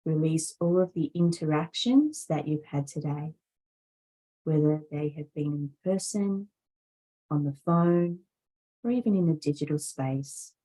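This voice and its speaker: A woman yoga teacher guides a meditation in a low, softly mellow voice. Her delivery is very unhurried and soothing, with deliberate phrasing and long pauses. She sounds calm and instructive throughout. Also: low-quality recording.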